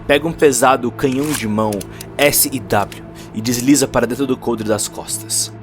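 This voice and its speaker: A man's voice: mid-range voice, moderate pace. A man with a Brazilian accent narrating a cyberpunk short story as a scripted, dramatic audio production. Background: faint music.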